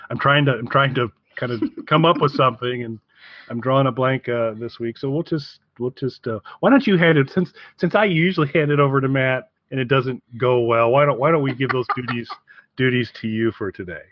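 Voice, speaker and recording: A man co-hosting a casual review podcast, talking haltingly as he repeats and rephrases his way through each point. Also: mid-range voice, no room sound. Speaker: podcast co-host. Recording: dry, slightly dull podcast audio.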